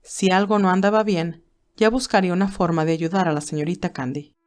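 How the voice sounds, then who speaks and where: A woman's voice: fairly low pitch, steady tone, a little fast. A woman reading scripted story narration for an audiobook.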